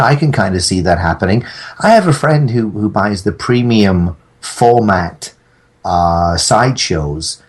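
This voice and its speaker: A middle-aged man chatting casually on a podcast, joking with mock-formal asides. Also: lowish voice.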